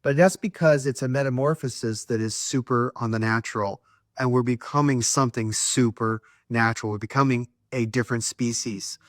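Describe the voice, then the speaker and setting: Man, spiritual co-host, earnest and preachy, mid pitch, dry close sound. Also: fairly even intonation, normal speed, dry recording. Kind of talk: spiritual talk.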